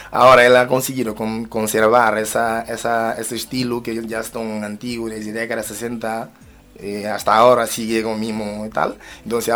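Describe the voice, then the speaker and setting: A man's voice: medium pitch, fairly bright tone. A man, a musician and rapper, speaking as an interview guest, often repeating words and phrases as he goes. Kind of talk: spoken radio interview.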